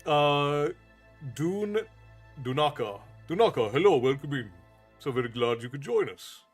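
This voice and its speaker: A man's voice: on the high side, lively intonation, measured pace. Brief: man in his forties, Americanized Southern Irish accent, folksy stream host with faint music behind. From Ireland. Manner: folksy, thanks chat by name, calls viewers "love". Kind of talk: casual livestream chat.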